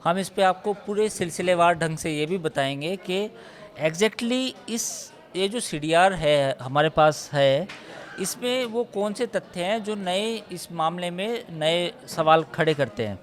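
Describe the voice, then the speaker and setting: Emphatic man, somewhat high-pitched, analysing news. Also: animated, slow. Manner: emphatic, repeats points and lists figures. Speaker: news reporter analysing a case. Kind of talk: live news discussion, unscripted analysis.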